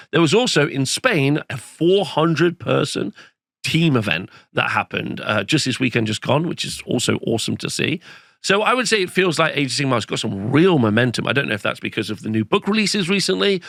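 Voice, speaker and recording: A man hosting a casual rundown of game results, his voice croaky as it comes back, rambling with fillers. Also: mid-range voice, a bit bright, no echo, clean studio sound. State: croaky, recovering lost voice. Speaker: host. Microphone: home studio microphone.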